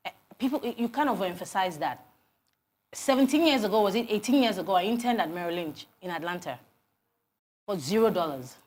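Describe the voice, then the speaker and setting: A Nigerian woman in her forties talks as a guest on a TV morning show. She speaks quickly and tells her stories with animated energy. She often restarts her sentences as the thoughts come. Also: medium pitch. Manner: animated storytelling, many restarts. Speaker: guest, HR consultant. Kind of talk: TV interview conversation.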